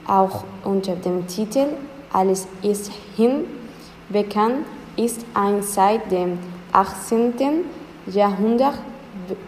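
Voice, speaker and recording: A young woman, a language student, reading a prepared text aloud slowly and carefully, as a learner does. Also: medium pitch, darkish tone. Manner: slow, careful learner's delivery. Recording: reverberant room with faint noise.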